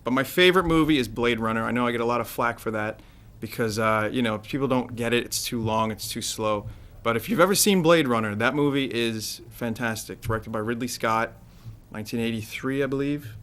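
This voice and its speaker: Host, male, bright voice, easygoing jokey banter. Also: mid-range voice, fairly quick. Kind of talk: casual chat on a public access show.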